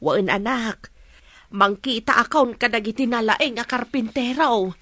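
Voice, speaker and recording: A woman's voice: a little high, measured pace, bright, forceful tone, dry recording, clean studio sound. A woman playing an affectionate, ailing older mother in a radio drama, with an Ilocano accent.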